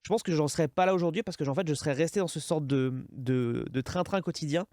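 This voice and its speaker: A man's voice: on the high side, fast talker, darkish tone, dry recording, clean studio sound. A man in his thirties with a French accent, hosting a casual interview and rambling with many restarts. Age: 30s. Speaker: podcast host.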